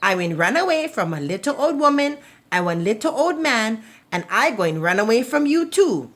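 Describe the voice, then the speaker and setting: A cheeky storybook rice-ball man, played by a woman, crows his taunts in a lively, raised singsong. He is gleeful and mocking, chanting in Pidgin phrasing. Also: on the high side, normal speed, voice pushed up. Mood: gleeful taunting. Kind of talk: children's storybook read-aloud.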